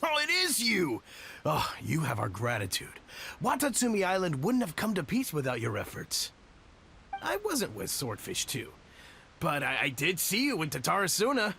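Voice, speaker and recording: An actor playing a friendly, loyal soldier who talks in a warm, earnest, chatty way. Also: male voice, on the high side, huge pitch swings, moderate pace, creaky, a little hiss or hum, exaggerated voice.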